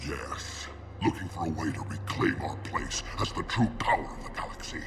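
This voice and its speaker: A bitter proud alien officer from a game, low gravelly growl, menacing and desperate. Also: male voice, expressive, normal speed, over music. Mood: bitter menace. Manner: menacing, accusing. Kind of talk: dramatic video game acting.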